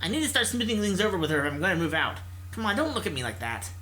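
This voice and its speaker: A man's voice: high-pitched, big pitch swings, very fast, bright, forceful tone, exaggerated voice. A man voicing a stubborn, excuse-making young male gamer in a visual novel, informal and whiny. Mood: sheepish, defensive. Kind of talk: visual novel character reading.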